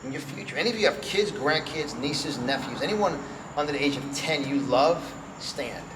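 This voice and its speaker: A man's voice: a little high, animated, moderate pace. A middle-aged man with a New York accent giving a promotional interview, speaking emphatically and repeating phrases for effect. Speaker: guest, event organizer and motivational speaker. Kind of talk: promotional interview talk with personal storytelling. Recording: roomy echo, clear background noise.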